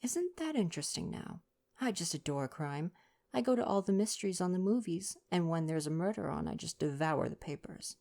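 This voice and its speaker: A woman's voice: lowish voice, expressive, brisk, gravelly, a little mellow, pitched above the performer's own voice. A woman voicing a cheeky, slangy young American-born actress and acrobat in a read-aloud novel. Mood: eager. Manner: slangy, brash, playful.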